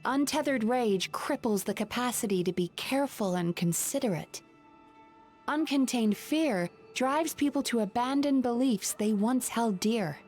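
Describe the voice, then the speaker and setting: Calm earnest therapist in a game, highish voice, measured and articulate, music behind. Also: female voice. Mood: earnest, firm. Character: composed, earnest female dream therapist, gently firm. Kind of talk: video game voice acting.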